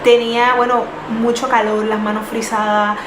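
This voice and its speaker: A woman's voice: a little high, level tone, moderate pace. A young woman in her twenties earnestly telling a personal story, with many fillers and restarts. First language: not English. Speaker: vlogger. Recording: echoey room with noise.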